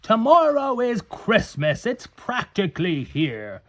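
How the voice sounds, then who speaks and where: A man's voice: high-pitched, wildly swooping pitch, slow pace, a bit bright, pitched above the performer's own voice, exaggerated voice. A man voicing a sour, scheming Grinch from a children's storybook, in a theatrical, sneering manner. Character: sour, scheming male Grinch who hates Christmas. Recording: dull, lo-fi home recording.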